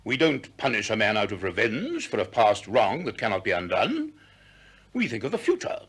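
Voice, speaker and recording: An actor playing a self-assured, eloquent ancient Greek teacher in a 1960s radio drama, with an expansive lecturing manner. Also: male voice, mid-range voice, slightly rough.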